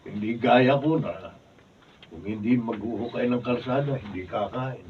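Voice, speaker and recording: Man playing a plaintive middle-aged Filipino husband, worn-down labourer, medium pitch, radio drama, muffled sound. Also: moderate pace, natural voice. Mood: bitter, resigned. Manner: plaintive, grumbling. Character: aggrieved, worn-down road labourer husband around fifty. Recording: distant, dull, faintly noisy studio recording.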